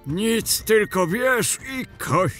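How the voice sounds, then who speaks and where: A rustic old grandpa in a fantasy audio drama. His folksy, marvelling voice is high and slow, drawing out the words over faint music. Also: lively intonation, a bit bright, exaggerated voice. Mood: delighted.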